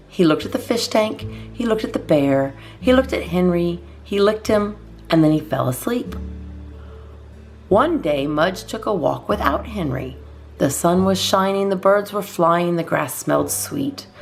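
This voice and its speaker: Woman reading a storybook, very lively intonation. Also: lowish voice. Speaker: storytime host reading a children's book aloud. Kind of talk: storybook narration for children.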